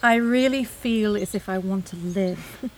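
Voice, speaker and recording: A woman's voice: mid-range voice, a little slow, a little mellow, natural voice. A woman acting in an audio drama as a wistful, yearning woman reflecting on life.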